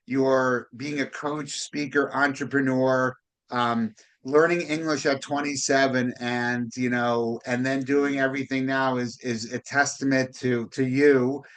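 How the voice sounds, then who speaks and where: A man hosting a podcast, talking casually in a halting way and often repeating words as he goes. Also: mid-range voice, little pitch movement, fairly bright tone. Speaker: podcast host, real estate broker. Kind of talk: conversational podcast interview.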